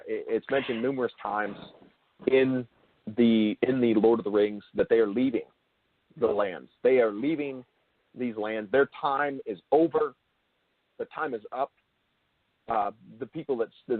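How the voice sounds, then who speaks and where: A man hosts a casual interview over a thin, phone-like connection with a dry sound. His delivery is halting, and he often doubles back to rephrase what he is saying. His pitch sits in an ordinary middle range. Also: no room sound. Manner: halting, repeats and rephrases himself. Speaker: show host interviewer. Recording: narrow phone-like call line.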